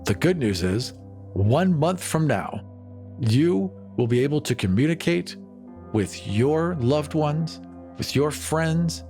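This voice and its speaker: A man's voice: a little high, creaky, darkish tone, heavily acted. Man playing a glib, persuasive colony boss. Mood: pitching. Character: glib, persuasive male colony boss making big promises. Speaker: player character in a tabletop game.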